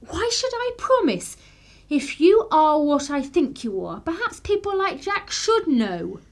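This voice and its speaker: A woman plays a clever young upper-class English lady in a radio drama. Her voice is high and very lively, with an arch, teasing, commanding poise. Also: measured pace, exaggerated voice. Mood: teasing, challenging. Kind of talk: radio play acting.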